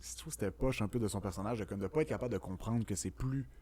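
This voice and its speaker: A man with a Quebec French accent and a fairly low voice talks at a fast clip. He hosts a casual film podcast and speaks in an informal, colloquial style. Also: darkish tone. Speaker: podcast host.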